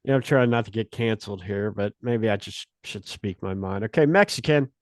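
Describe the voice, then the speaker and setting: A middle-aged man hosting a casual podcast chat, rambling and halting as he talks. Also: fairly low pitch, no echo, clean studio sound. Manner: rambling, halting, restarts often. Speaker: podcast host.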